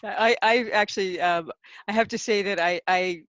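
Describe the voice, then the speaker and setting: A woman's voice: mid-range voice, moderate pace, fairly bright tone, no room sound. A woman in her sixties hosts a bookshop chat over a video call and talks in a chatty, laughing way. Her audio comes through dull and lo-fi, with a dry, close sound. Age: 60s. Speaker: bookshop host.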